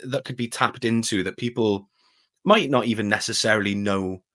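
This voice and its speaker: A man's voice: on the low side, moderate pace, fairly bright tone. A man speaking as an interview guest in a casual, enthusiastic chat. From Wales.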